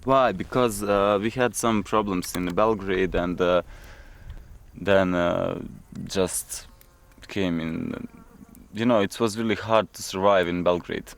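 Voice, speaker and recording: A man from Bosnia with a Bosnian accent, giving casual, halting interview answers in English as a second language, often adding "you know". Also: low voice, fairly even intonation, creaky. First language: Bosnian-Serbo-Croatian.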